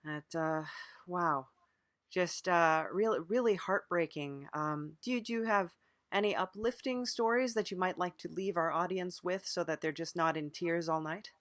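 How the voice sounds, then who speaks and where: Casting: improv comedy podcast host, medium-pitched voice, deadpan sympathy, hesitant phrasing, very little room sound. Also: measured pace, no echo, clean studio sound. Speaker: podcast interviewer. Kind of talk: improvised mock interview.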